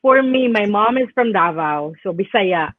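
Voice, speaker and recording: A woman's voice: medium pitch, lively intonation, moderate pace, dry recording. A woman with a Filipino accent speaking as a guest in a casual video call, chatty and mixing English and Tagalog. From Philippines. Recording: tinny dry phone-like call audio.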